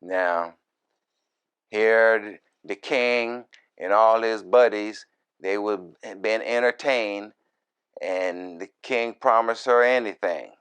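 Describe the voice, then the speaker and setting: Slow, low-voiced man teaching scripture. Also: a bit bright, clean tone. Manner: deliberate, broken short phrases, folksy asides. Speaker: minister, Bible teacher. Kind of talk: verse-by-verse scripture reading with informal commentary.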